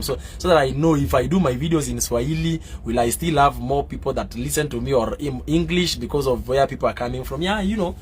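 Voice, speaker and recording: A man from Kenya chatting casually on a live stream as a football fan, answering viewers with many fillers and getting excitable and loud. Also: mid-range voice, a little hiss or hum.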